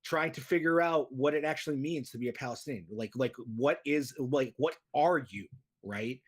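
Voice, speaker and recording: A man's voice: mid-range voice, normal speed, breathy edge. A man in his thirties, born in the United States, chatting as a podcast guest in a rambling way full of fillers and restarts. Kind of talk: casual interview chat.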